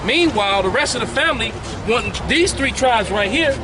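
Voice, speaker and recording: A man preaching forcefully in a street debate outdoors, lecturing the people around him. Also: very high voice, lively intonation, normal speed, music in the background. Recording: loud street crowd and traffic.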